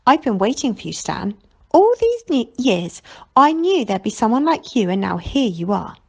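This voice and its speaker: A woman voicing a mysterious, gentle older foreign showman from a storybook, with a soft, watery voice. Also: a little high, big pitch swings, moderate pace, vocal fry, slightly rough, exaggerated voice. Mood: calm, inviting.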